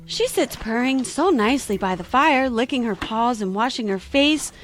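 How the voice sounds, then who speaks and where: Earnest, chatty little girl, voiced by a woman; high and sing-song. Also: expressive, normal speed, faint music behind, lightly acted. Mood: chattery. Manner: chattery, earnest, easily flustered. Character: curious, polite little girl. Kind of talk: audio drama acting.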